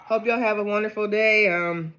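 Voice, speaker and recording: A man from Ohio chatting casually to camera in a gardening vlog, rambling and low on energy, often breaking into excited exclamations. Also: normal speed, highs cut off. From Ohio, USA. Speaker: gardening vlogger.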